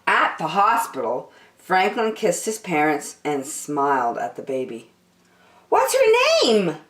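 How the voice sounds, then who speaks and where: A woman storyteller reads a children's book expressively. Her delivery is slow with a very lively range of pitch, and the recording has a roomy echo.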